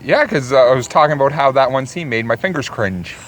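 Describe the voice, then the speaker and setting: Blunt man with lively pitch, noisy recording. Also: fairly quick. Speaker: podcast co-host. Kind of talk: casual film review chat.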